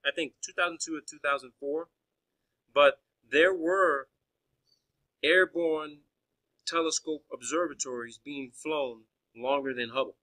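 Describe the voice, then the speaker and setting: A man's voice: a little high, unhurried, bright, forceful tone, low-quality recording. A man arguing in a phone conversation as a caller, pressing his points insistently and often restarting his phrases. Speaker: caller questioning a space agency official.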